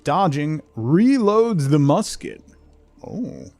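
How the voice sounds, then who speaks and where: Creaky-voiced man chatting on a game stream. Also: a little high, big pitch swings, takes it slowly, darkish tone, no echo, soft background music. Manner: rambling, conversational, reads chat and game text aloud. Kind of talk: casual livestream chat over gameplay.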